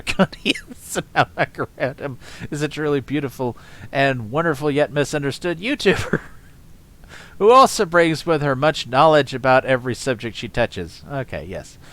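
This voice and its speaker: A man's voice: medium pitch, fairly bright tone. A man giving sardonic reaction commentary, mocking with frequent chuckles and trailing off into fillers. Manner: sardonic, mocking, laughs often. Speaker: commentary host.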